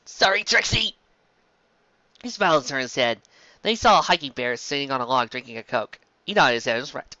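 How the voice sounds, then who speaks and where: A woman's voice: a bass voice, expressive, quick pace, bright, forceful tone, no room sound, muffled recording. A woman reviewer reading fan fiction aloud with comic asides, theatrical and exclamatory.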